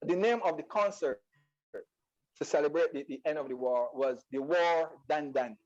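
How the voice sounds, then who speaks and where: A man with a Barbadian accent speaking as a guest poet in an interview, explaining in a halting way and often repeating words. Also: on the high side, a little mellow, muffled recording.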